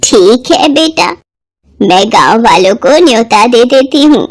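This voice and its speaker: A woman voicing a kind old village woman in a cartoon devotional story, motherly, coaxing and unhurried. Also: fairly high pitch, animated. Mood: warm inviting.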